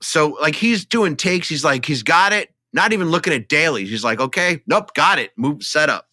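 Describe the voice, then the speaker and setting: A man's voice: fairly high pitch, expressive, normal speed, bright, forceful tone, dry recording, studio quality. A man co-hosting a casual film podcast, talking enthusiastically and emphatically in a conversational way. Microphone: studio microphone, close.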